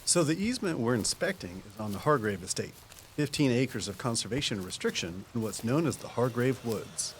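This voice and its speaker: Folksy actor as a small-town conservation man, medium-pitched. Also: expressive, brisk, slight background noise, lightly acted. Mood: explanatory, easygoing. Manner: folksy, patient, explanatory. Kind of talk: audio drama dialogue.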